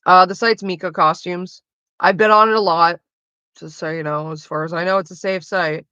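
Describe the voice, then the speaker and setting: A woman's voice: lowish voice, fairly even intonation, moderate pace, bright, forceful tone, clear, resonant tone, no room sound, dull audio. A woman with a Canadian accent chatting casually with her livestream viewers, rambling and swearing freely. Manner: rambling and profane, loose with frequent fillers.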